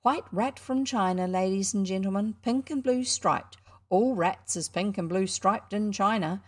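A woman voicing a cocky young schoolboy showman, loud and indignant as he hawks his show. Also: medium pitch, unhurried, close to an everyday voice. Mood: boastful.

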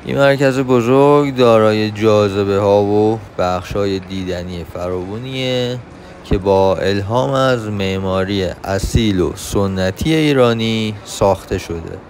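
Iranian guy doing tour guide vlog narration, lowish voice, bit of background noise. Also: a little mellow. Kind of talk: informational travel vlog narration.

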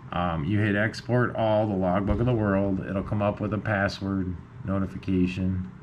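A man's voice: sits low, flat delivery, normal speed, lo-fi sound. A man giving a casual screen tutorial, informal and a bit hesitant as he thinks aloud. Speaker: amateur radio video host. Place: indoors at a computer. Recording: clearly noisy room recording.

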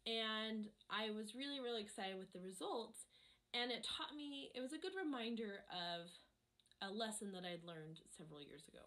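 Woman with a cold-roughened voice. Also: fairly high pitch, animated, normal speed, a bit bright. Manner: reflective, chatty, many ums. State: hoarse from a cold. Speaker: art channel host. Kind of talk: casual voice-over chat over a painting.

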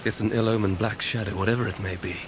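An actor playing a breezy, proud young gentleman with an airy, careless manner in a radio drama. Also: lowish voice, steady tone, fast talker, natural voice. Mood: uneasy. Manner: airy, dismissive, laughing. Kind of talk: 1990s radio drama acting. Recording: clear background ambience noise.

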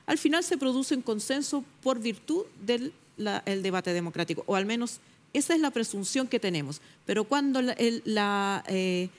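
A middle-aged woman journalist arguing her point on a television panel debate, emphatic and pausing often with fillers, recorded on a lapel mic in a studio. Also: on the high side, expressive. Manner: emphatic, searching for words with many fillers.

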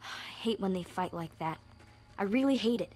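An actress playing a gentle, candid young girl in a zombie survival video game. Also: medium pitch, lively intonation, moderate pace, husky. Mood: upset. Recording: faint music underneath.

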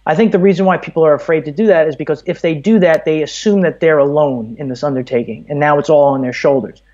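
A man's voice: a little high, fast, mellow, dull audio. A man in his thirties from Brooklyn with an American accent, speaking earnestly and emphatically as a podcast guest in a rambling, opinionated way. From Brooklyn, New York, USA. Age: 30s. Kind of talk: conversational interview, opinionated.

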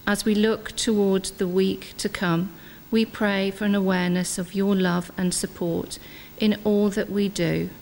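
An Englishwoman reading prayers in a measured, solemn way as she leads a church congregation. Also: medium pitch, level tone, creaky, darkish tone, a little hiss or hum. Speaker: intercessor leading congregational prayers. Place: inside a parish church. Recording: echoey church hall reverb.

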